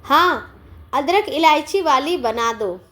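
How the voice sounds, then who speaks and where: A woman plays a listless married lady in a moral tale. Her voice is high and slow. She speaks in a curt, half-hearted way, with a melancholy temper. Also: animated, sharp tone, heavily acted.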